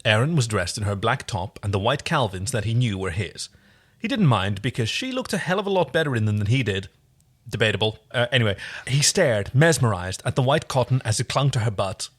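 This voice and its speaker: A man co-hosts a fan podcast with a mid-pitched voice that moves up and down a lot. He is playful and expressive, whether reading a story or chatting informally. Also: a little fast, husky. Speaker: podcast co-host and reader. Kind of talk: fan fiction reading and casual chat.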